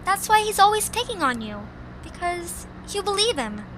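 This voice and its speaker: Very high, headstrong little cartoon girl played by a woman. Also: sing-song, normal speed, a bit bright, slight background noise, exaggerated voice, rough recording. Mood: reassuring, indignant. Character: headstrong, suspicious young girl Zoombini, small cartoon creature. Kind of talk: amateur cartoon audio drama acting.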